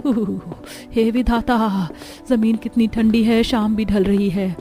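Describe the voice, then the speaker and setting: A woman's voice: fairly high pitch, fairly even intonation, slow, mellow, soft background music. A woman voicing a poor, overworked mother in a cartoon story, plaintive and melodramatic. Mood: distressed. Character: poor, overworked, long-suffering mother of many daughters.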